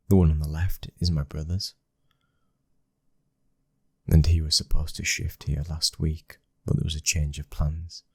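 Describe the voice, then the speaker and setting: A British man playing a loving, dominant young mafia heir in an intimate ASMR boyfriend roleplay, speaking close to the mic in a breathy, husky voice. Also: extremely low pitch, no echo, heavily acted. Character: smooth-talking, loving, dominant young mafia boss's son. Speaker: audio roleplay voice actor. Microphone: close microphone.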